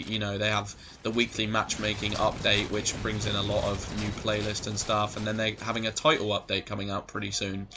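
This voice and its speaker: A man's voice: on the low side, noisy background. Rambling, sweary gaming guy. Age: 20s. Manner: rambling, swears a lot, goes off on tangents. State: voice going a bit hoarse. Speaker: gaming commentator. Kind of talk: rambling casual gameplay commentary.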